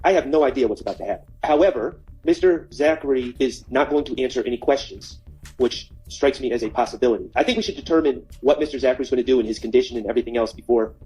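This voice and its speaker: A man's voice: mid-range voice, fast, dark tone, breathy edge, low-quality recording. A man arguing in court as a lawyer, insistent and exasperated, repeating his points. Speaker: defense lawyer. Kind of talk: courtroom argument.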